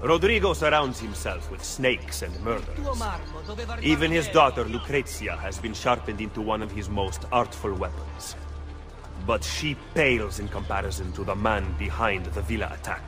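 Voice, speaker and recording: An actor playing a shrewd Italian conspirator in a video game, speaking in a measured, ominous way with an Italian accent. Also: male voice, on the low side, natural voice. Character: shrewd, solemn Italian conspirator advising an assassin. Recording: music behind the voice.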